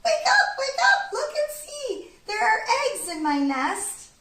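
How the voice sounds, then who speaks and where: Woman acting tiny female bird, squeaky, sweet, excitable, high-pitched, storybook character, recorded roomy and distant. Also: slow pace, full character voice. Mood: excited. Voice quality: squeaky little voice. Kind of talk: children's storybook read-aloud. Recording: roomy, distant, faint background noise.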